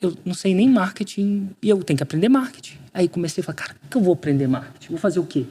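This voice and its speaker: Man from brazil, podcast guest, lively storytelling, slangy, slightly higher voice. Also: racing, slightly rough. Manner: animated, slangy, quotes himself and others. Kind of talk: storytelling in casual conversation.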